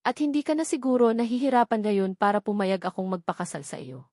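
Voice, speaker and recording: A woman's voice: mid-range voice, lively intonation, fast, a little mellow, dry recording, studio quality, lightly acted. A woman voicing a serious, insistent male billionaire with a matter-of-fact manner in a romance audiobook. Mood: regretful.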